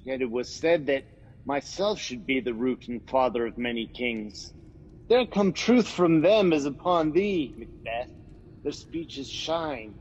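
A man's voice: mid-range voice, lively intonation, unhurried, a little mellow, slightly rough, big-room sound, lo-fi sound. A man acting as a wary, loyal nobleman in an amateur radio drama, speaking verse earnestly and broodingly.

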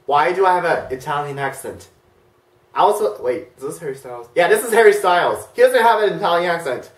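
Teen vlogger reacting to his old clips; bouncy, excitable delivery with lots of pitch swings, recorded a bit distant in a roomy bedroom. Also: a little fast. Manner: excitable, embarrassed, jumps between mutters and yelps. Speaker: YouTuber reacting to old videos. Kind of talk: casual reaction commentary. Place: bedroom, indoors, hugging a big stuffed bear.

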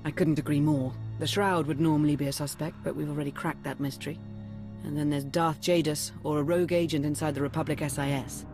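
An actress playing a shrewd, composed adviser in a video game, serious and analytical. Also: female voice, low voice, fairly even intonation, quick pace, music in the background. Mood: grave, analytical. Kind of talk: video game cutscene acting.

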